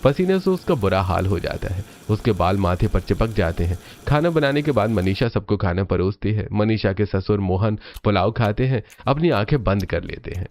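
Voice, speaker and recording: Male narrator, low pitch, scripted cartoon storytelling. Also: lively intonation, normal speed, hardly any pauses, darkish tone.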